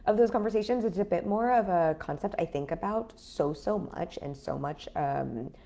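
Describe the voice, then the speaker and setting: A woman in her thirties talking casually on a livestream as a business coach, her voice raspy after an illness, chatty with many fillers. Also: lowish voice, moderate pace, mellow. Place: home in a city apartment.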